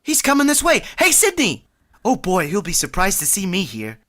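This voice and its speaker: A man's voice: high-pitched, huge pitch swings, normal speed, bright, forceful tone, heavily acted. An actor playing a boastful, easily flustered working-class man who blusters and stammers when he gets nervous, in vintage radio comedy style.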